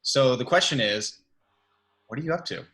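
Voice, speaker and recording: A man playing a firm, principled billionaire businessman in a measured, confrontational way at an amateur table read. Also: medium pitch, expressive, fairly bright tone. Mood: firm, challenging.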